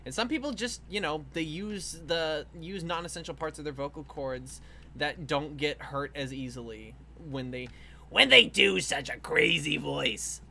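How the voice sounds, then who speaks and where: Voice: mid-range voice, a little slow, gravelly, sharp tone, a little hiss or hum. A livestream host chatting casually while animating, rambling and laughing as they talk, with frequent swearing.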